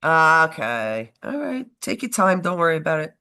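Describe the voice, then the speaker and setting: A woman's voice: sits low, big pitch swings, normal speed, pushed and bright, clear, resonant tone. A stuffed-up woman co-hosting a casual political chat livestream, rambling and breaking off mid-sentence, often laughing. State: stuffed up from a cold. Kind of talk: casual live-stream chat.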